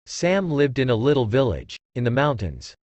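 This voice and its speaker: A man's voice: mid-range voice, very animated, normal speed, a little mellow, no room sound, lo-fi sound, faint music behind. A man narrating a scripted story for children.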